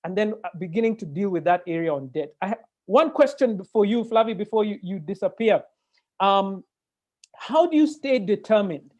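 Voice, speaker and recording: A man with a Kenyan accent moderating a webinar conversationally, animated and given to digressions and interjections. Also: high voice, moderate pace. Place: indoor room, video call. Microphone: earphone mic on video call. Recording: dull, lo-fi video-call audio.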